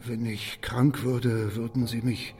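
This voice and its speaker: A man acting a suspicious, secretive loner afraid of arrest in a radio drama, uneasy, halting and over-insistent. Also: medium pitch, little pitch movement, warm tone. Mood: furtive, wary. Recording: distant from the microphone.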